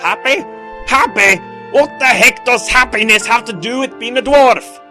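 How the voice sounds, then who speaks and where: A man's voice: extremely high pitch, normal speed, bright, forceful tone, heavily acted. A man voicing a stern, dutiful male dwarf cleric in a cartoon fandub. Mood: indignant. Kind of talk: animated comic fandub acting. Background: prominent music.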